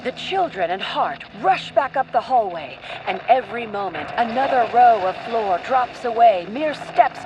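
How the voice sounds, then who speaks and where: A woman's voice: a little high, background noise. A woman narrating a scripted radio adventure play in a dramatic, descriptive storytelling manner. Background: music.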